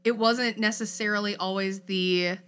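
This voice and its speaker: A woman's voice: mid-range voice, fairly even intonation, normal speed, a bit bright, smooth. A young adult woman co-hosting a podcast, talking in a casual, reflective way, searching for words as she thinks. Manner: thoughtful, searching, many fillers. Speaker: podcast co-host.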